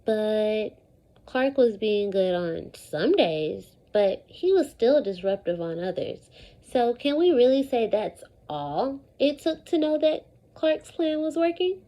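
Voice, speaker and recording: A woman with a high, mellow voice leads a read-aloud lesson as an elementary teacher. She takes her time, stressing key words and repeating them for young pupils in an animated call-and-response manner. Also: poor audio. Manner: animated, repetitive call-and-response with deliberate emphasis and questions to pupils.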